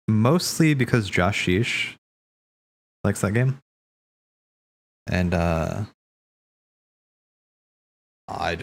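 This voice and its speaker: A man giving casual game commentary as a streamer, thinking aloud in a halting, stammering way. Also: low-pitched. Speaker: streamer hosting a cutscene watch party. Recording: clear background noise.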